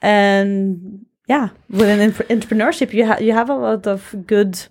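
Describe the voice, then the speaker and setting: A woman's voice: medium pitch, fairly even intonation, moderate pace, darkish tone. A woman of Dutch and background, co-hosting a podcast in a chatty way, often saying "like" and "actually". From Norway. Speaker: podcast co-host. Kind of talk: casual chat.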